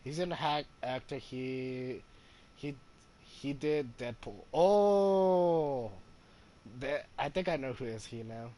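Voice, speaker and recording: A male gaming streamer giving casual playthrough commentary, halting, with non-standard grammar. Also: medium pitch, mellow. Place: game commentary over game audio.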